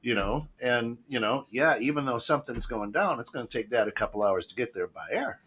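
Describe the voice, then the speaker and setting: Male host, bright animated delivery, stop-start phrasing. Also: medium pitch, husky. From Poland. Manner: halting, with many restarts and laughs. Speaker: radio show host. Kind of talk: casual chat.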